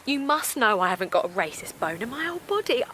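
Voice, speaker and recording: A woman's voice: fairly high pitch, sing-song, brisk, a bit bright, a little hiss or hum. An actress playing a naive, kindly middle-aged village woman in an audio drama, hesitant and defensive, with colloquial phrasing. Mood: upset, pleading. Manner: hesitant, colloquial, protesting, repeats herself. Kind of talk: naturalistic audio drama acting.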